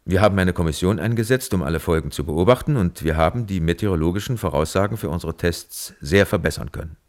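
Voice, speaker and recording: An actor playing a coolly pragmatic nuclear physicist giving detached, dry, assured testimony in a German radio play. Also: male voice, deep voice, fast talker, natural voice. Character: coolly pragmatic male nuclear physicist defending weapons tests.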